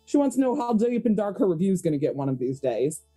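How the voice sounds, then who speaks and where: A woman with a very soft-edged, dark tone plays a tabletop roleplaying game. She moves between improvised character narration and casual table talk, with music underneath. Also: fairly low pitch, very animated, quick pace.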